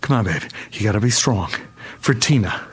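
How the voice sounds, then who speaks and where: A man's voice: lowish voice, lively intonation, moderate pace, close to an everyday voice. An actor in a radio drama playing a bewildered, worried father of a missing little girl. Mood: soothing, shaken. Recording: radio drama with music behind.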